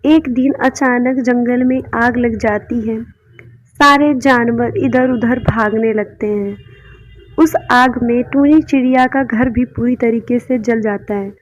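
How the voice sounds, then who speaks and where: Woman reading kids cartoon stories, fairly high voice, takes her time, kinda dull muffled audio. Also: fairly even intonation, takes it slowly. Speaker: narrator. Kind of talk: scripted children's story narration.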